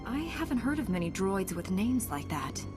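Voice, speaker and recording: Woman as young heroic knight, resolute, principled, mid-pitched, composed, video game scene, music behind. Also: sing-song, normal speed, close to an everyday voice. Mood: firm. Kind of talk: video game cutscene acting.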